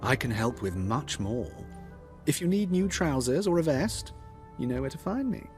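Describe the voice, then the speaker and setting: Man playing a flamboyant, theatrical male tailor, swooping pitch. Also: big pitch swings, moderate pace, faint music behind, lightly acted. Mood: wistful, playful. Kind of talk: video game dialogue acting.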